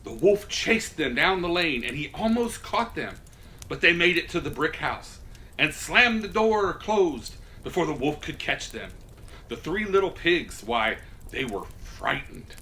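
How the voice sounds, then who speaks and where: A man's voice: on the high side, very animated, a little slow, sharp tone. A man reading a children's story as Santa, in a folksy, theatrical way.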